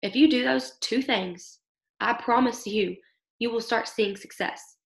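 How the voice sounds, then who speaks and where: A young woman with a hoarse, worn voice coaches her team through an upbeat pep talk, checking in with them every few sentences. Her voice sits a little high but grates with fry. The call sounds like it was picked up in an echoey room. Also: unhurried. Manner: upbeat, coaching, keeps asking "okay?". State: losing her voice from illness. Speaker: team leader. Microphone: video call.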